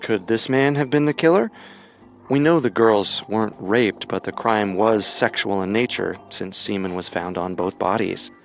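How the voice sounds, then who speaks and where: A man co-hosting a scripted true-crime show, narrating in a measured storytelling manner. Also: fairly low pitch, vocal fry, soft background music. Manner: measured storytelling with rhetorical questions. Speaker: co-host narrator.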